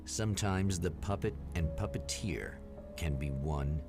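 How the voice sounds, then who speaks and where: A man playing the portentous, measured narrator of an eerie anthology TV show in a video game. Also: low-pitched. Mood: ominous, portentous. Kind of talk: scripted dramatic TV narration in Twilight Zone manner. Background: music.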